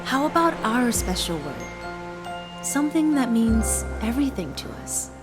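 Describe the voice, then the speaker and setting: A woman's voice: a little high, very animated, moderate pace, over music. A woman acting a skeptical, wry young sweetheart in a musical theatre drama. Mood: tender.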